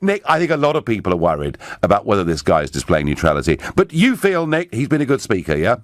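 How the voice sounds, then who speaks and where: Guy hosting a radio call-in, pushy and teasing, lowish voice, bouncy delivery. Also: huge pitch swings, fast, no echo, studio quality. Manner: assertive, teasing. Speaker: radio phone-in host. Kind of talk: radio phone-in debate. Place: radio studio.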